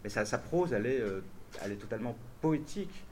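Brief: male translator on a festival panel, quick and halting, thinking aloud, faint noise behind. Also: mid-range voice, lively intonation, a little mellow. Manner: hesitant, restarts often, thinks aloud. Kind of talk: festival panel answering questions.

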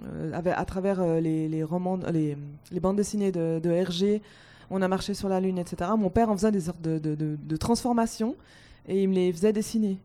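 Mellow-voiced woman telling a childhood story. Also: on the low side, fairly quick. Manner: lively storytelling, repeats words. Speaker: panel guest. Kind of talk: personal anecdote.

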